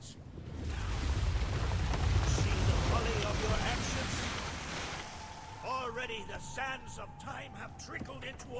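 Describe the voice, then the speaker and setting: A man's voice: extremely high pitch, heavily acted. A man playing a stern ghostly ferryman of the dead in a video game, declaiming in solemn, archaic phrases. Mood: grave, foreboding. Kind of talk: video game character acting. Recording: loud game music behind the voice.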